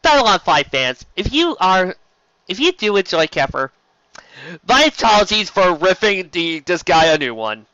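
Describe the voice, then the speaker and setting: Male amateur narrator, dramatic fiction reading, bright forceful tone, slightly high pitch, dry sound. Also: big pitch swings, normal speed, no room sound, muffled recording. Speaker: amateur audiobook reader.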